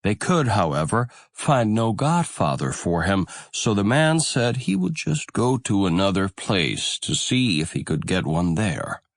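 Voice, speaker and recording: A man narrating a fairy tale audiobook in a deliberate, expressive storytelling manner. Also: on the low side, dry recording, clean studio sound.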